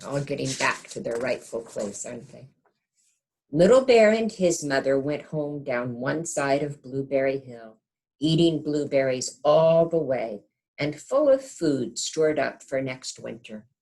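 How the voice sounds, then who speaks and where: A woman reading a storybook aloud to young children in an expressive teacher's manner, pausing to check in with the listeners. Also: rumbling low, low-quality recording.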